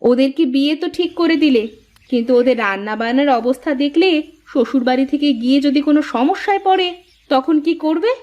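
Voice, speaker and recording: A woman's voice: sits high, fast, a little mellow, dull audio, lightly acted. A woman voicing a scolding middle-aged village mother in a cartoon story, nagging and exasperated, with music underneath. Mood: worried.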